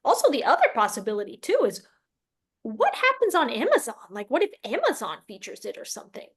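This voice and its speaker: High-voiced woman hosting a casual chat. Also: huge pitch swings, a little fast, a bit bright, slightly rough. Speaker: interview host.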